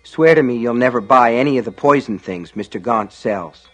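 A man's voice: medium pitch, normal speed, a bit bright, soft background music, lightly acted. A man voicing a despairing boy, an older brother in a story, with a solemn, insistent and detached manner. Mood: solemn insistence.

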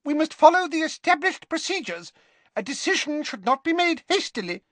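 Tiny, squeaky-voiced fussy professor. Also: male voice, very animated, fairly bright tone, no echo, full character voice. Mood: earnest. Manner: formal, measured.

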